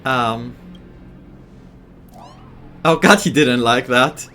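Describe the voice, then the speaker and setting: A man's voice: mid-range voice, very slow, pushed and bright, soft background music. A man giving casual, amused, reactive commentary as he plays through a video game. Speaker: gaming streamer.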